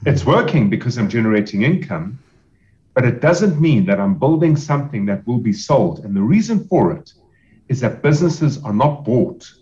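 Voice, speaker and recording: A man advising on selling a business, speaking in a measured, explanatory way with emphatic repetition. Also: medium pitch, very animated, dark tone, highs cut off. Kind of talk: podcast interview answers.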